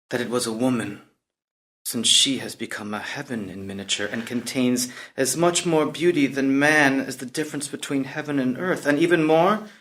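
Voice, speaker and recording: A man's voice: on the high side, a bit bright. A man playing a proud, impetuous young prince in a dramatic play reading, declaiming emphatically and floridly.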